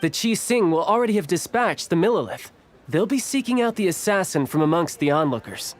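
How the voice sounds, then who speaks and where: Suave, higher-pitched young envoy. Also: male voice, big pitch swings, fairly quick. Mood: intent, urging. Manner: smooth, teasing, theatrical. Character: suave, playful young male foreign envoy with hidden cunning. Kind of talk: fantasy video game voice acting.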